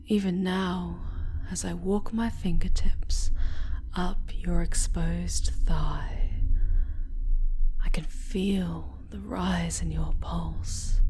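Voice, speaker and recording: Slow, whispering sadistic dark elf mistress, acted by a woman. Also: lowish voice, a little hiss or hum. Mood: seductive, coaxing. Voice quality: breathy, mostly whispered. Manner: slow, deliberate, imperious and teasing. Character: sadistic, seductive dark elf noblewoman toying with her captive. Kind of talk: ASMR roleplay. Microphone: close microphone.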